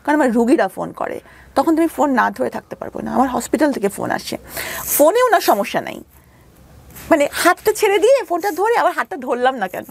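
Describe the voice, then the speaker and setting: A woman with a Bangladeshi accent giving a candid, personal account in a radio interview, halting at times and re-explaining herself as she goes. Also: sits high, big pitch swings, creaky. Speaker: interview guest telling her life story; doctor. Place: radio studio.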